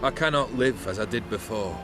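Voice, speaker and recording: An actor playing a world-weary, grieving nobleman in a video game, with eloquent, old-fashioned, measured phrasing. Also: fairly low pitch, over music, close to an everyday voice. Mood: resolute, grateful. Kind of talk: video game cutscene acting.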